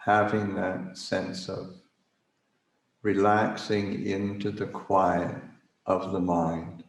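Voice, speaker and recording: Man, meditation guide, lowish voice, extremely slow pace, halting phrases, long pauses, roomy echo. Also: level tone, vocal fry. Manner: halting, gentle pauses, hesitant searching for words. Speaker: Buddhist monk leading a guided meditation. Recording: roomy, echoing livestream recording.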